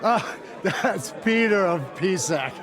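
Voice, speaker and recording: An actor playing a hearty, teasing medieval lord in a video game. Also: male voice, high voice, animated, slow, a crowd in the background. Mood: jovial, teasing. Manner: hearty, bantering. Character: jovial, teasing medieval lord hosting a celebration. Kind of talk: video game cutscene acting.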